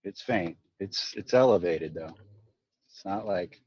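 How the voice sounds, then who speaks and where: A man chatting casually in an online voice chat, laid-back and often trailing off softly. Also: low voice, a little slow, husky, dry recording. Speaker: voice chat participant. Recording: dry lo-fi voice chat audio.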